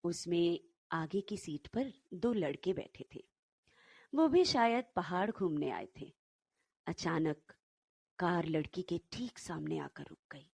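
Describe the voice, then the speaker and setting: A woman narrating a short story in an unhurried, expressive, dramatic storytelling manner. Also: lowish voice, gravelly, husky, no room sound, studio quality.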